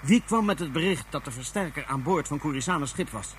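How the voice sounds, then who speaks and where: An actor playing an imperious, hot-tempered space tyrant in a science-fiction radio drama, barking clipped commands and repeating himself. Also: male voice, fairly high pitch, animated, fairly quick, a little hiss or hum, natural voice. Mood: cold fury.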